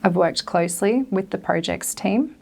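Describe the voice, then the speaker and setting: A woman voicing a deaf speaker's talk as an interpreter, with a gravelly voice and careful, phrase-by-phrase delivery. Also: medium pitch, moderate pace, darkish tone. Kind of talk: spoken interpretation of a prepared talk.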